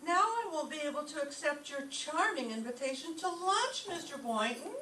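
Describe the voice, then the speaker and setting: A woman's voice: high voice, expressive, moderate pace, bright, forceful tone. A woman playing a wry, sarcastic schoolteacher in an old-time radio comedy. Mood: dry, sardonic. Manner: dry, sarcastic wisecracking. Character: wry, sardonic female schoolteacher. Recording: roomy, distant microphone, faint noise.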